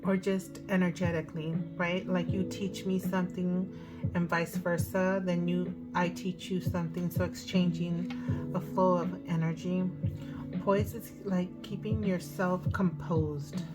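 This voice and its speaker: Hoarse woman reading tarot cards. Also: fairly low pitch, level tone, unhurried. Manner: chatty, thinking aloud with fillers. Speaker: tarot reader. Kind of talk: casual tarot card reading. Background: music.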